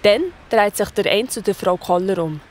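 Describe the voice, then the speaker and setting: Swiss German female storyteller, medium pitch. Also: normal speed. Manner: colloquial, present-tense storytelling. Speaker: narrator. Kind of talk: scripted children's story narration.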